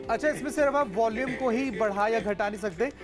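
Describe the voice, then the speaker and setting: A man's voice: very high voice, brisk, loud music behind the voice. A man presenting on a home shopping show, steadily listing product features, price and how to order. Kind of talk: teleshopping sales pitch.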